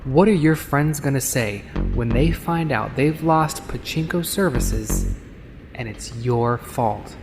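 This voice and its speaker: A man's voice: mid-range voice, takes it slowly. A man voicing a cheeky male motorbike courier in a cyberpunk audio story, conversational and full of bravado. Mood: cocky bluffing. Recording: voice over prominent synthwave music.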